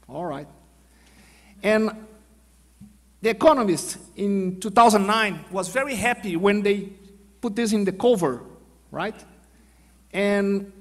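A Brazilian man in his fifties, a general, presenting at a podium in a large auditorium in halting English with a Brazilian Portuguese accent, full of fillers and restarts. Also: sits high. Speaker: panel speaker, army general. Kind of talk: conference presentation. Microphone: podium microphone. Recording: long hall reverb.

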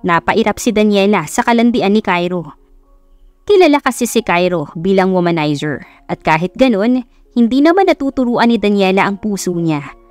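A woman's voice: mid-range voice, animated, normal speed, no echo, faint music behind. A woman with a Filipino accent reading a scripted romance story as an audiobook narrator.

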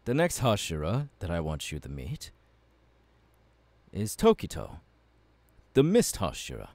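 A man playing a confident, fiery anime swordsman mentor in an ASMR audio roleplay, talking to the listener with measured, dramatic pauses. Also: sits low, wildly swooping pitch, a little mellow, no echo, heavily acted. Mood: welcoming, instructive. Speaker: voice actor.

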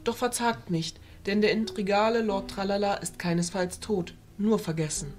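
A woman's voice: mid-range voice, darkish tone, close to an everyday voice. Woman acting a regal fairy council leader. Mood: composed, stately. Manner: formal, measured, stately speech. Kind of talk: scripted fantasy audio drama.

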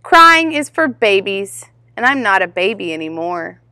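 A woman's voice: mid-range voice, sing-song, unhurried, sharp tone, no room sound, studio quality. A woman voicing a proud, curious little boy rabbit of nearly five in a storybook reading, emphatic and questioning.

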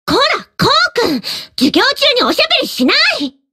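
A woman's voice: very high voice, huge pitch swings, fairly quick, pushed and bright, heavily acted. A woman voicing a petite, cheerful young schoolteacher in an anime-style game, earnest, encouraging and easily flustered. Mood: scolding, flustered. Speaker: homeroom teacher.

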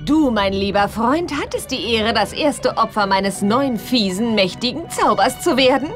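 A woman's voice: on the high side, lively intonation, normal speed, music in the background, lightly acted. A woman playing a gleefully cruel mermaid sorceress, theatrical and mock-polite, in a children's audio drama. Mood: gloating, menacing.

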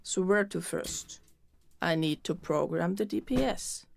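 A woman playing a dry, bossy, practical adventurer in a comedic audio drama, deadpan and matter-of-fact. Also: lowish voice, measured pace, gravelly, no room sound, exaggerated voice. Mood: businesslike.

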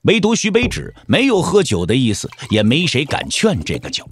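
Animated male narrator, slightly high voice. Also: moderate pace, slight background noise. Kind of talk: dramatic audiobook narration of a martial-arts novel. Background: soft background music.

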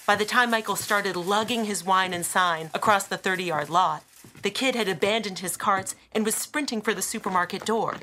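A woman narrating an audiobook in a dramatic, deliberate style with weighted pauses and emphasis. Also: mid-range voice, bright, forceful tone, a little hiss or hum.

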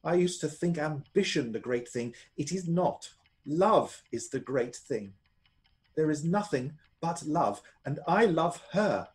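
An actor playing an earnest, despairing gentleman husband in a melodramatic radio play. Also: fairly high pitch, lively intonation, measured pace. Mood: anguished.

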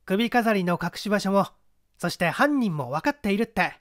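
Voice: mid-range voice, lively intonation, moderate pace, no echo, studio quality, pitched above the performer's own voice, lightly acted. A reader playing a confident young male detective, wry and commanding, in an audiobook mystery. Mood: cool, wry.

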